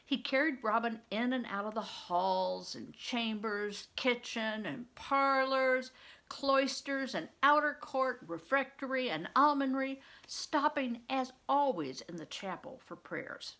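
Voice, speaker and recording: An older woman reading a story aloud like a teacher, pausing to explain and put questions to the listener. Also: a little high, lively intonation, bright, forceful tone.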